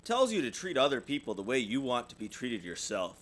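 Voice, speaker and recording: A kindly storybook father bear, played by a man reading aloud. He explains things fondly, with lively rises and falls in pitch. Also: a little fast. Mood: fond, explaining.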